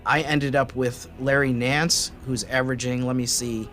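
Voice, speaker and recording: A man's voice: mid-range voice, fairly even intonation, moderate pace, a bit bright, faint music behind. A man co-hosting a sports podcast in casual conversation, talking as a sports writer.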